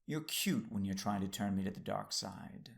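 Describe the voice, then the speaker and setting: A calm, wry Sith husband played by a male actor in a science fiction audio drama. His voice is low and gravelly, and he teases in an unhurried way. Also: exaggerated voice. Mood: calm, teasing.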